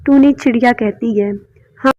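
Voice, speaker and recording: A woman's voice: fairly high pitch, fairly even intonation, a little slow, over a noisy crowd. A woman narrating scripted children's stories, with muffled, dull sound.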